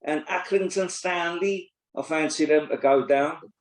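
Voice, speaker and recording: A man's voice: on the high side, measured pace. A man, co-hosting a casual football podcast, blunt and opinionated, swearing freely. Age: 60s. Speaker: podcast co-host and pundit. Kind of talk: casual chat. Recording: roomy echo.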